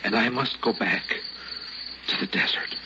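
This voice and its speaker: An actor playing a solemn, righteous old prophet in a radio drama, with grave biblical speech and a weathered voice. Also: male voice, on the low side, lightly acted. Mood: solemn, gentle. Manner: slow, grave, biblical preaching. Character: wild-bearded old prophet, solemn, gentle, fervently righteous. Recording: dull, noisy old radio drama recording.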